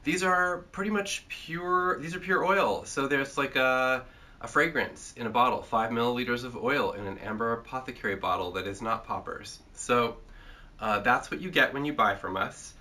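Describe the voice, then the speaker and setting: A man's voice: mid-range voice, normal speed, sharp tone. A man hosting a casual chat, congested from a cold, rambling and joking with lots of filler sounds. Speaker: host.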